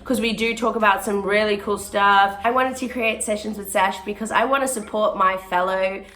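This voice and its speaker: A young woman, a touring musical theatre performer talking earnestly to camera in a casual vlog, often pausing on "um". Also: medium pitch, fairly even intonation, fairly bright tone. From born in South Africa, raised in New Zealand. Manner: earnest and chatty, with frequent ums.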